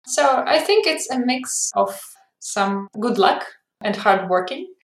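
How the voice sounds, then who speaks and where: A woman's voice: on the high side, animated, slow, echoey room. A woman in her forties, a lawyer being interviewed on a podcast, who explains things carefully with small slips in her English. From Ukraine. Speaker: arbitration lawyer, guest.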